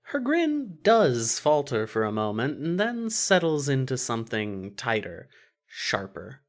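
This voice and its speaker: A narrator reading scripted fiction in an expressive, wry storytelling manner. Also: medium pitch, measured pace.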